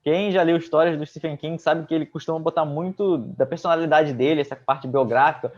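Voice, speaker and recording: A man's voice: a little high, fairly even intonation, brisk. A young man in his twenties with a Brazilian accent, giving a slangy, casual review as co-host. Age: 20s. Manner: slangy, colloquial. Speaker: co-host of a comics review channel. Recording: slightly dull, lo-fi sound.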